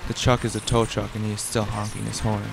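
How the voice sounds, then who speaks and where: A man's voice: lowish voice, little pitch movement, fast, creaky, over music. A man reading a scripted radio play as narrator in an amateur way, with noisy sound effects behind him.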